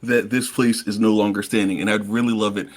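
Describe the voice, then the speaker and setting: A man playing a tabletop game, chatting casually in a coaxing manner with halting repetitions. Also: lowish voice, flat delivery.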